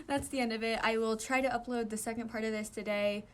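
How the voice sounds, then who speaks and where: A woman reading fan fiction aloud as an amateur narrator, giggly and animated, with casual asides. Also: on the high side, a little fast.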